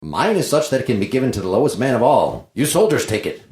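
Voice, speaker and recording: A man's voice: mid-range voice, sing-song, quick pace, darkish tone, a little hiss or hum, close to an everyday voice. A man playing a cheeky, cowardly comic servant, glib and wry in a play read-through. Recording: roomy, echoey sound.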